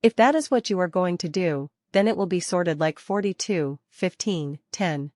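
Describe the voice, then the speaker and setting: A woman working as a software trainer, teaching interactively step by step, repeating key points and posing questions to learners. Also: lowish voice, lively intonation, normal speed, no echo, clean studio sound.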